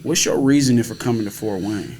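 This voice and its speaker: A man's voice: lowish voice, fairly quick, creaky, darkish tone. An American man hosting a podcast in a casual, playful and slangy way, often repeating himself. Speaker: podcast host. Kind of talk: casual chat and interviewing.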